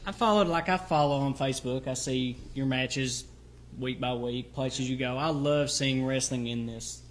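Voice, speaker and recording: A man's voice: mid-range voice, normal speed, a little hiss or hum. A man, hosting a podcast and rambling as an eager wrestling fan, full of fillers. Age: 30s. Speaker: podcast host. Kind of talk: casual podcast chat. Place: small studio.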